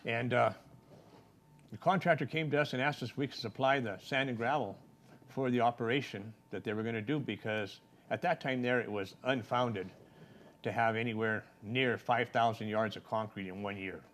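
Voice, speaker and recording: A man's voice: medium pitch, moderate pace, a bit bright. An older man from a small Colorado town, answering interview questions about his life in a plain, rambling, folksy way. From Trinidad, Colorado. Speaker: city council candidate.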